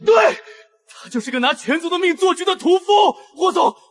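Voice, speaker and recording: A man's voice: extremely high pitch, lively intonation, normal speed, fairly bright tone, raised voice. A man playing an agitated, sceptical young associate in a Chinese drama. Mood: alarmed, urgent. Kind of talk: Chinese audio drama dialogue.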